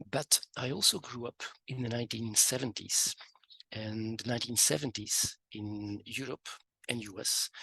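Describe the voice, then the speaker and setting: Gravelly Flemish-accented man, bright voice. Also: mid-range voice, no room sound, rough recording. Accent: Flemish Belgian. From Belgium (Dutch-speaking part). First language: Dutch. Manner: relaxed storytelling with many fillers. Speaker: guest economist. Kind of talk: casual interview talk.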